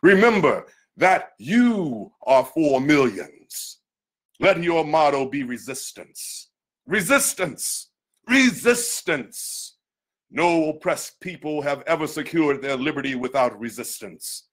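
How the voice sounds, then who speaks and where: A man's voice: on the high side, sing-song, slow pace, fairly bright tone. A man reading a historical address aloud in a solemn, declamatory, oratorical style. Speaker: reader of a historical speech.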